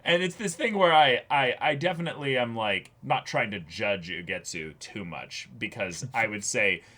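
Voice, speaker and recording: A young man co-hosting a casual, analytical discussion, haltingly thinking aloud as he goes. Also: mid-range voice, lively intonation, sharp tone. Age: young adult. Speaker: reaction show co-host. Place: small indoor recording setup, seated before a backdrop.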